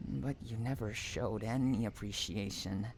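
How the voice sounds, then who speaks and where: A man's voice: lowish voice, measured pace, vocal fry, background noise. A man acting an obsessive, unhinged stalker in an audio roleplay, cooing pet names and drawing out words between tenderness and anguish. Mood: wounded, obsessive. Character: obsessive, unhinged male stalker lover, sweetly possessive and menacing. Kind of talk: dramatic roleplay audio monologue.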